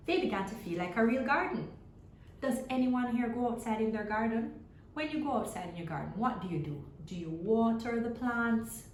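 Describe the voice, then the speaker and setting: A woman reading a children's story aloud expressively, pausing to put simple questions to her young listeners. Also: fairly high pitch, echoey room, a little hiss or hum. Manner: asks simple, repeated questions as to small children.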